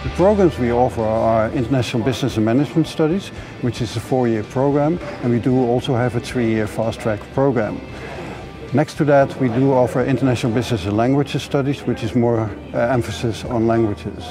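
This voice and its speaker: Formal man over background music. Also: medium pitch. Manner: measured, formal. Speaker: program director. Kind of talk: prepared welcome address. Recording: music bed underneath.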